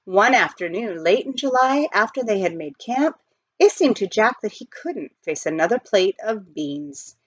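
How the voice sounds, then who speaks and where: A woman reading a storybook aloud in an expressive narrating style. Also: medium pitch, measured pace, no room sound. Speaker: audiobook reader, teacher. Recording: dull lo-fi close recording.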